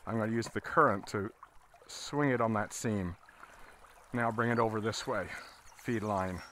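A man giving a casual, halting fly fishing tutorial as an instructor, talking while he works outdoors. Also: lowish voice, fairly bright tone. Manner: halting, explaining as he casts. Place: outdoors, standing in a river. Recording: outdoor recording with water noise. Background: running water.

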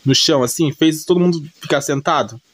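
A man's voice: on the high side, fairly quick, dry recording. A man with a Brazilian accent giving casual, unscripted story commentary in a colloquial, repetitive way, often adding little check-in tags. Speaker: video creator narrating an anime recap.

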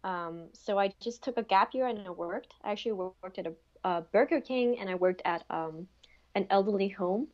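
A woman's voice: mid-range voice, moderate pace, dull audio. A young woman from Denmark, a podcast guest, chatting casually at home with many "like" and "um" fillers over an earphone mic on a video call. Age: young adult. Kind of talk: casual interview chat. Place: bedroom at home.

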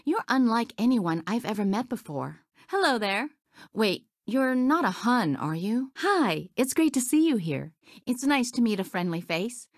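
A young woman voicing a brave, earnest, good-hearted young woman warrior for a video game, in expressive short lines. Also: a little high, measured pace, dry recording, studio quality, full character voice. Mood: friendly, curious. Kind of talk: video game character voice clips.

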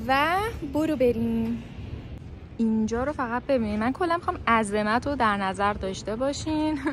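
A young woman vlogging from inside a large factory hall, chatty and excited, laughing often. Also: on the high side, background noise. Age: young adult. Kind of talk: casual vlog report. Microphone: handheld phone camera close up.

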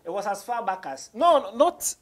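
A man's voice: high-pitched, sing-song, normal speed. A Ghanaian man in his thirties chatting casually as an interview guest, mixing English with Twi. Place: small office studio, indoors.

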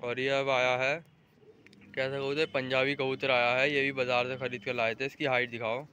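A man's voice: medium pitch, little pitch movement, normal speed, sharp tone, a little hiss or hum. A young man vlogging casually from a rooftop, showing off pigeons and directing his friends as he goes. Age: young adult. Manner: chatty, directing friends, calling out to viewers. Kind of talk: casual vlog narration. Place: outdoors on a rooftop. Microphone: phone camera held in hand.

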